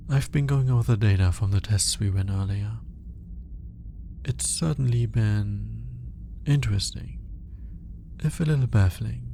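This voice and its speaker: Guy doing an alien scientist for asmr roleplay, slow mellow talk, formal n curious, kinda awkwardly smitten, bit of background noise. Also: on the low side, vocal fry. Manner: formal, clinical wording with hesitant, flustered pauses. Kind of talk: ASMR roleplay audio drama, speaking to the listener.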